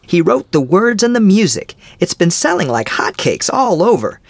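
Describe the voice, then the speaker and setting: A man puts on a gossiping village storekeeper from an old storybook, pitched up high and swooping all over. The voice has a gravelly, crackling edge and is loud and eager with news, and the recording is close and dry. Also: very animated, normal speed, no echo, exaggerated voice. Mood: gossipy, gloating. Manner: folksy rural grammar, eager to tell news. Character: talkative gloating male village storekeeper. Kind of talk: storybook character voice.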